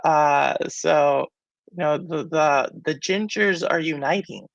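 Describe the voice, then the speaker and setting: A woman's voice: low voice, takes it slowly, vocal fry, dry recording, dull audio. A woman hosting a live-stream talk show, chatting casually, talking to the viewers and laughing often. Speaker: podcast host.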